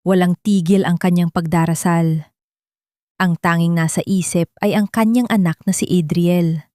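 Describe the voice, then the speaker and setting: A woman's voice: lowish voice, mellow, dry recording, clean studio sound. A woman narrator reading a scripted, dramatic love story.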